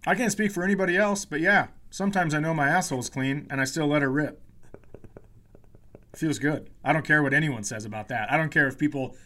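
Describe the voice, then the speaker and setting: A man's voice: a little high, fairly quick, pushed and bright. A man who hosts a podcast as a comedian, telling stories casually with swearing, mock indignation and quoted dialogue.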